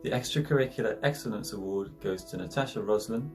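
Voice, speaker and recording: A man's voice: on the low side, quick pace. A young man reading a scripted award presentation in a formal way as student president. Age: young adult. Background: prominent plucked guitar music.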